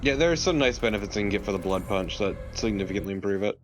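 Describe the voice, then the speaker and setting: A man's voice: on the low side, expressive, quick pace, soft background music. A man giving casual co-commentary on a video game, with a wry way of talking. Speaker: let's play co-commentator.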